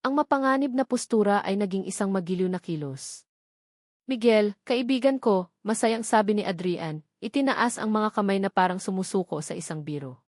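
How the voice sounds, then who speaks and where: A woman narrates a scripted love story at a steady, measured pace. Her voice sits at a middle pitch and sounds close and dry, with no room around it. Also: dry recording.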